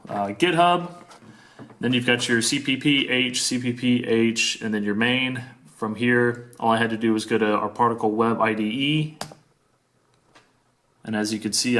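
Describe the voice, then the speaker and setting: A man's voice: medium pitch, a bit bright. A young man giving an informal technical demonstration as a student presenter, recorded in an echoey room with some light noise. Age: young adult.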